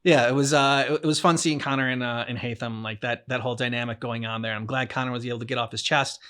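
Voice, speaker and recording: A man's voice: mid-range voice, steady tone, fast, a bit bright, smooth. A man co-hosting a game reaction show, chatting casually, rambling and often repeating and restarting his phrases. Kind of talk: casual discussion of a game's story.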